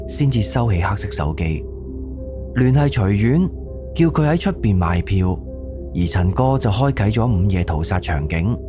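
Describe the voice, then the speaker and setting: A man with a Cantonese accent giving scripted narration for an audio drama. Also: fairly low pitch. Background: background music.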